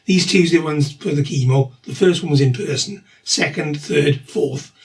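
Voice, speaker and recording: A middle-aged man with a husky voice and a slight cough, talking through his treatment in a rambling, matter-of-fact video diary. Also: on the high side, moderate pace. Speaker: vlogger documenting his cancer treatment.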